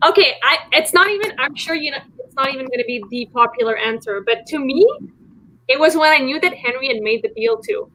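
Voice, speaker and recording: High, bright, animated young-sounding woman. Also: fairly quick, low-quality recording. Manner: animated, fills talk with "like". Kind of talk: casual book-club chat.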